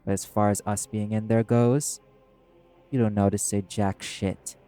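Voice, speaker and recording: A proud, prickly demon played by a man in an audio roleplay. The voice is fairly low and very mellow, yet the delivery is curt, scornful and commanding, with faint music underneath. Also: level tone, a little slow, clear, resonant tone, close to an everyday voice. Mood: stern, threatening. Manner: terse, scornful, commanding, swearing.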